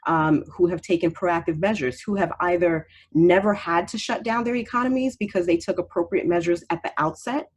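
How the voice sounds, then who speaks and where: A woman's voice: fairly low pitch, fairly quick, hardly any pauses, breathy edge. A woman from Haiti raised in Miami, a state representative speaking in a candidate forum, confident and assertive. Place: video call.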